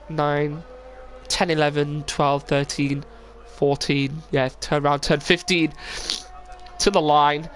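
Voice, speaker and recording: A man's voice: fairly high pitch, fairly bright tone, a little hiss or hum. A young man with a cold, commentating a sim racing stream casually with lots of fillers. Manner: casual, rambling with many fillers. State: full of cold, sniffly. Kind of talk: live stream race commentary.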